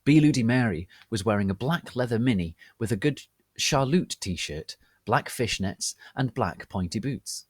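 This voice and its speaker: A man giving a theatrical, deliberate dramatic reading of a story as its narrator. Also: mid-range voice, animated, slightly rough, no room sound, studio quality. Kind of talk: dramatic reading of fan fiction.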